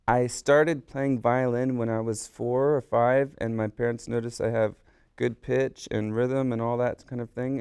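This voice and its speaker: Eager, smooth-voiced man guest. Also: medium pitch, a little mellow, no room sound, studio quality. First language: Korean. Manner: rambling, eager storyteller. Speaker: guest artist and musician. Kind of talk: casual interview chat. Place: radio studio.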